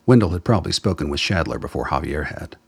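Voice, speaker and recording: A man's voice: a bass voice, expressive, fast, gravelly, a little mellow, slightly rough, dry recording, studio quality. A man reading scripted dramatic narration as the narrator of a radio play.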